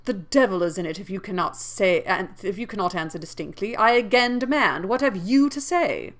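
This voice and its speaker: A woman voicing a proud, angry gentleman who makes curt, scornful demands. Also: fairly low pitch, fairly quick, natural voice. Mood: contemptuous. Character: proud, defiant, angry gentleman with commanding temper.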